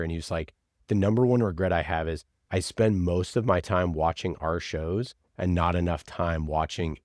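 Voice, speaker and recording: Very deep-voiced man in a casual interview. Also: darkish tone, no echo, studio quality. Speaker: podcast producer, interview guest. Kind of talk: casual conversational interview answers.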